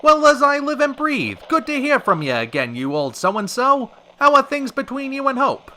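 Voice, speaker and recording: A man's voice: very high voice, huge pitch swings, normal speed, sharp tone, slight background noise, exaggerated voice. A man acting as a genial radio show host, with folksy, theatrical patter in an old-time radio style. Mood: excited.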